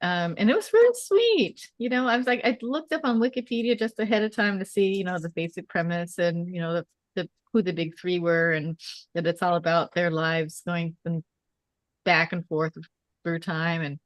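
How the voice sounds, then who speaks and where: Chatty, low-pitched woman, dry close sound. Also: normal speed, no room sound. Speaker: table read participant. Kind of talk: casual chat.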